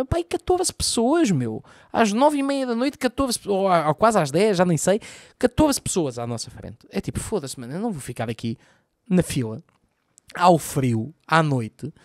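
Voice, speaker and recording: A Portuguese man with a Lisbon accent rants through a solo podcast, swearing freely and throwing his arms around as he talks. His voice sits a bit high for a man and comes across close and dry, recorded on a boom mic right at his mouth in a small home room. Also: no echo. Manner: profane, ranting, animated with big arm gestures. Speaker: comedian podcast host. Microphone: boom mic close to mouth, wearing headphones.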